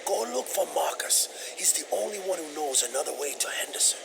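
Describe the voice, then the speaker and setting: Creaky, high-pitched beleaguered man. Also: lively intonation, fairly quick, noisy background. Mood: resigned. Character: wary, hot-tempered, beleaguered village survivor. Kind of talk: video game cutscene acting.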